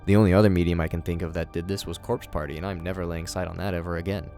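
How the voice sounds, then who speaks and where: A man's voice: low voice, steady tone, very fast, warm tone. A man giving scripted video commentary as the host of the show. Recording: music under the voice. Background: background music.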